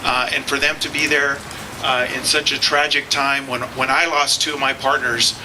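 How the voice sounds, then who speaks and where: A middle-aged man, a law enforcement officer whose first language was Spanish, giving a halting rally speech full of fillers and repeated words. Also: mid-range voice, flat delivery. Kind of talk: public speech at a political rally. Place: outdoor campaign rally. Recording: outdoor rally with clear background noise.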